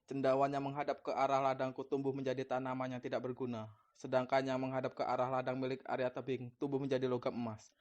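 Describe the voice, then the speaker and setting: Man acting a fast-talking, jealous vengeful prince. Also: medium pitch, completely flat delivery, darkish tone, dull audio, natural voice. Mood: bitter, suspicious. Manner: accusatory, confrontational. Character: jealous vengeful prince, accusing his brother-in-law. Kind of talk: acted dialogue in a cartoon folktale.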